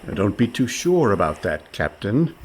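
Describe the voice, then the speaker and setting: Man as a wry, animated con man pirate. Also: on the low side, moderate pace, noisy background. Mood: confident. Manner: wry, placating, articulate. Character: quick-witted con man posing as pirate, coaxing, anxious. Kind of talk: comedic radio play acting.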